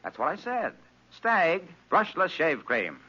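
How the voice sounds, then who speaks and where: A man's voice: a little high, huge pitch swings, slow, music in the background. A male radio announcer reading ads in polished mid-century sales patter. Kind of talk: 1940s-50s radio ad read. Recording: muffled old radio broadcast.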